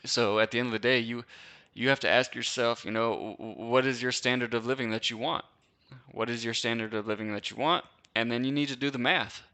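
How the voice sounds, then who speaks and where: Young guy from wisconsin doing a chill live q&a, bright clear voice, says dude a lot. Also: mid-range voice, steady tone, brisk. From Wisconsin, USA. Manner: laid-back slangy chat, lots of "dude" and "bro", frequent laughs. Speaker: host. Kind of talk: casual live-stream Q&A.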